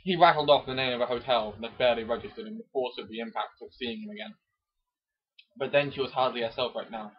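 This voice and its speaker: Husky man reading, muffled lo-fi recording. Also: mid-range voice, quick pace. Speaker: amateur narrator. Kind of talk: mock-dramatic romance novel reading with chatty asides. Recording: dull lo-fi home recording.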